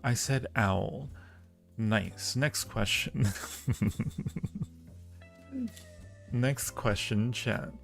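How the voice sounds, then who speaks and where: Playful gravelly-voiced man teasing his chat. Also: mid-range voice, very slow, pauses often, fairly bright tone, soft background music. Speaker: audio roleplay creator hosting a live Q&A. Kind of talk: casual live-stream chat, reading viewer questions.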